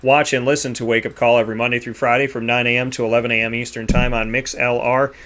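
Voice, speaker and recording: A man hosting a sports radio show, giving rambling, energetic shout-outs and sponsor plugs. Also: mid-range voice, fast. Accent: Boston. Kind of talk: enthusiastic sign-off with sponsor plugs.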